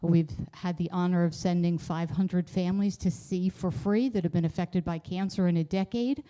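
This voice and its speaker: A woman's voice: lowish voice, fairly even intonation, moderate pace, warm tone. A woman speech coach giving a polished, promotional self-introduction.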